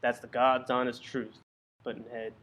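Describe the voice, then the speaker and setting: A man's voice: medium pitch, flat delivery, normal speed, a bit bright, lightly acted. A man playing a bitter, boozy working-class husband with slangy, melodramatic ranting in an old-fashioned radio play parody. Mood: contrite.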